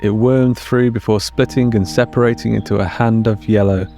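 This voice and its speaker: Low-voiced man narrating a story. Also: steady tone, normal speed, mellow. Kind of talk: scripted fiction narration, audio storytelling. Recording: music under the narration.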